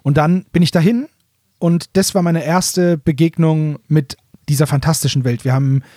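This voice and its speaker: A man with a voice set a little higher than most talks like a podcast co-host. His delivery is colloquial and conversational, as in an informal chat between fans. The sound is very dry, with hardly any room on it. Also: steady tone, normal speed, mellow, no room sound. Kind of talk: casual, conversational fan talk.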